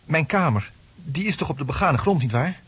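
A man's voice: a little high, lively intonation, moderate pace, fairly bright tone, breathy edge, full character voice. An actor in a radio drama playing a troubled, hesitant, apologetic man, on a noisy recording. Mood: anxious, insistent. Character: troubled, anxious man, ashamed and unsure of himself.

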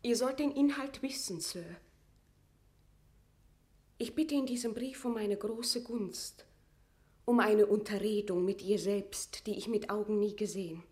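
A woman's voice: mid-range voice, steady tone, unhurried, pauses often, darkish tone, natural voice. An actress playing a proud, aggrieved imprisoned queen, dignified and imperious, with formal declamatory diction in a classical German verse radio drama. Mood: proud, pleading.

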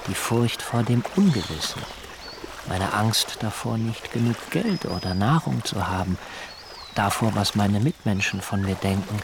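An earnest young nudist planter lost in his own thoughts. His voice is low, with plenty of creak, and he weighs his phrases slowly as in a radio play monologue. Clear ambient noise sits behind him. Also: animated. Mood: introspective, relieved. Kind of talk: introspective first-person radio drama monologue.

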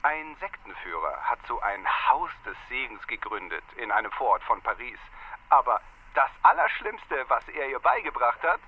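An actor playing a scornful, gossipy young army lieutenant, mocking and incredulous on the telephone in a radio drama. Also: male voice, medium pitch, moderate pace, slightly rough, phone-quality sound. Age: young adult. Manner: mocking, incredulous, animated storytelling. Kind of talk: German radio drama dialogue.

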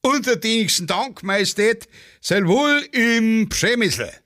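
An actor playing an aging, fawning poet in hunting garb, talking and singing in a folksy, sing-song way. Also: male voice, high voice, animated, a little slow, full character voice. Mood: servile. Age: older. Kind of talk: radio play acting with singing. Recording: loud music behind the voice.

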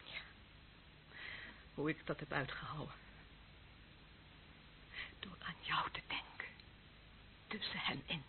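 A woman's voice: sits low, slow, long pauses. An actress playing an embittered, lonely young policewoman in a radio drama, bitter and taunting, often dropping to a whisper. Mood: coldly menacing. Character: embittered, lonely young policewoman, former death squad member. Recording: muffled phone-like band, clearly noisy old recording.

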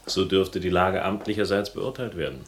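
A man's voice: sits low, moderate pace, lightly acted. An actor playing a wry, loyal, self-deprecating man in a radio crime drama, with a dry, laconic delivery. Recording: noisy vintage radio recording.

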